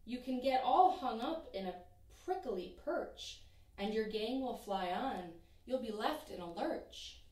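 A young woman teacher reading a rhyming children's picture book aloud in an expressive, sing-song way. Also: a little high, unhurried, distant mic. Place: small room in front of a painted backdrop. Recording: echoey room, voice from off-mic.

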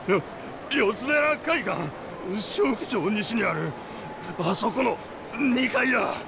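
ゲームのカットシーンで、関西弁で苦しげに絞り出すように話す、痛めつけられて怯えたチンピラを演じる男性俳優。. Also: male voice, very high voice, lively intonation, vocal fry, noisy background, exaggerated voice. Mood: pained, cowed. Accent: Kansai. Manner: strained, halting. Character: beaten, frightened street thug, defiant but cowed. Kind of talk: video game cutscene acting.

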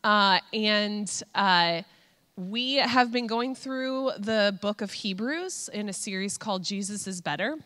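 A woman's voice: medium pitch, slow pace, fairly bright tone. A woman in her thirties introducing the next speaker on a church stage with a handheld mic, chatty and informal. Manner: chatty, informal, lots of "like" and fillers. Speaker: worship leader introducing the speaker. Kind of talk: casual church announcement. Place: church stage, large hall. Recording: long reverb of a large hall.

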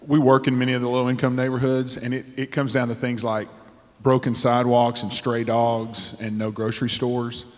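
A man on a discussion panel, speaking in a measured way with frequent ums, into a handheld mic on a theater stage. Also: mid-range voice, flat delivery. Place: large theater stage with audience. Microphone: handheld microphone. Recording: long hall reverb from a theater.

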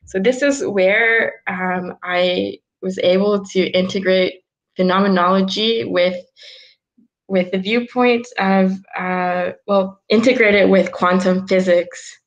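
A woman in her twenties, a guest on a video call from a small room at home, explaining ideas unhurriedly with frequent ums and soft restarts. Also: medium pitch, fairly even intonation, takes it slowly, lo-fi sound. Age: 20s. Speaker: guest, philosopher. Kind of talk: casual video-call interview.

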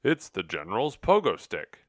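A man voicing an earnest, stern gentleman mouse in a children's storybook, speaking emphatically and with reproach. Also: fairly low pitch, huge pitch swings, normal speed, no room sound, studio quality. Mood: astonished.

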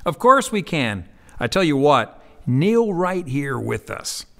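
A man's voice: fairly high pitch, big pitch swings, takes it slowly, vocal fry, bright, forceful tone, full character voice. A man playing an earnest, helpful believer who shares his faith in a scripted amateur radio drama. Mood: encouraging.

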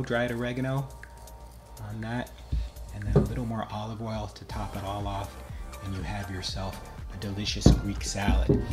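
A man in his fifties walks through recipes one step at a time, in the easy, explanatory way of a home cooking host. His voice sits at a middle pitch and is recorded close in a kitchen, with faint music underneath. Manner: friendly, explanatory, step-by-step instructions. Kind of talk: casual cooking demonstration.

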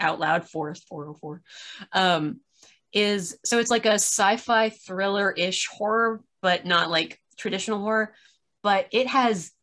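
A woman's voice: medium pitch, fairly bright tone. A woman in her thirties chatting casually on a video call, recounting stories with wry exasperation and acted-out asides. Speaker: podcast reviewer in a video chat. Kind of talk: casual chat, opinionated recounting. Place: small room at home, on a video call.

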